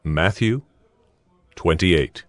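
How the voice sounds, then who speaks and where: A man narrating dramatized scripture in a measured, solemn storytelling manner. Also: low-pitched, no echo. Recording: music behind the voice. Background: music.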